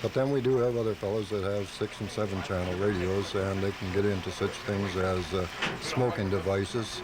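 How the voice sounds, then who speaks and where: A man answering questions in a radio interview, explaining his hobby in a measured, somewhat hesitant way. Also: sits low, fairly even intonation, background noise. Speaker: interviewed hobby club member. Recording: busy outdoor radio taping.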